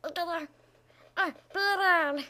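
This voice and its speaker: A woman's voice: very high-pitched, bright, forceful tone. A woman voicing a small, grumpy, mischievous alien creature with grunts and exclamations, in an amateur cartoon fandub. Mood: menacing.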